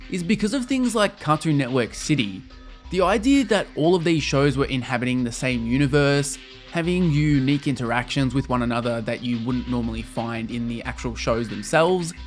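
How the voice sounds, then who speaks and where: A young adult man narrating a scripted video essay as a game reviewer and host. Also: mid-range voice.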